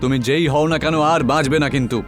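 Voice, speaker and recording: A man voicing a stern, brusque police inspector in an animated horror story. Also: medium pitch, quick pace, faint music behind, close to an everyday voice. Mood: commanding.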